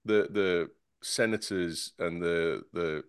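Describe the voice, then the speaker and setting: Male panelist, low-pitched, dry close mic, sarcastic and animated. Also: takes it slowly, clear, resonant tone, dry recording. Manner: animated, sarcastic, stumbling restarts. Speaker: podcast panelist. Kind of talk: casual panel chat.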